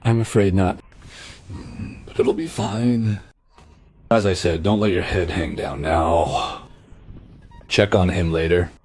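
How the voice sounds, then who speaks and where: A man playing a calm hospital doctor in a radio drama, reassuring and matter-of-fact. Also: sits low, slow pace, long pauses, slightly rough, exaggerated voice. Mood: calm reassurance.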